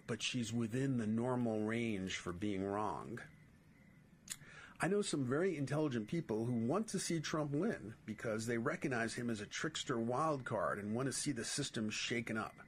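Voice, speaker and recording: A man's voice: mid-range voice, slight background noise. A middle-aged man with a New York accent reading his own political essays aloud as a podcast host. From New York City.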